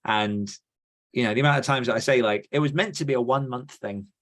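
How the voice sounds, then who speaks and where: A man's voice: mid-range voice, a little fast, fairly bright tone. A man hosting a podcast in a casual, rambling and enthusiastic chatty style. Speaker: podcast host.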